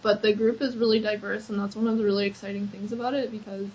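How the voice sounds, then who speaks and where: A woman's voice: medium pitch, little pitch movement, quick pace, darkish tone, a little hiss or hum. A young adult woman, an activist answering interview questions in an earnest, chatty way with frequent "like" fillers. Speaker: accessibility activist. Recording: slightly dull lo-fi recording.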